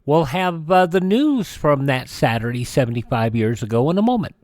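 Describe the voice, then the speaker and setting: An American man hosting a radio show, reading news items in a measured way with occasional stumbles and self-corrections. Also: a little high, sing-song, darkish tone, no room sound, studio quality.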